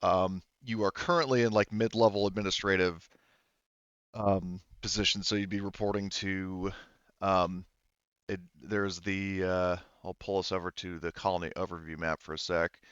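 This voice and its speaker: A man's voice: deep voice, fairly even intonation, moderate pace, smooth, dry recording, lo-fi sound. A man casually explaining a tabletop game as game master, in an easygoing, informal way.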